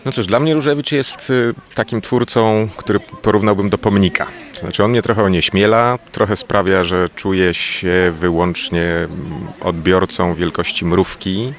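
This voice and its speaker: A cultural anthropologist giving a measured, academic interview statement with some fillers. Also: male voice, low-pitched, fairly even intonation.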